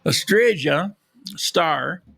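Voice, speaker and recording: A man's voice: a little high, animated, extremely slow, vocal fry, sharp tone, breathy edge. A man hosting a live podcast, rambling casually with frequent restarts and losing his voice. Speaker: podcast host. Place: lives in Colombia.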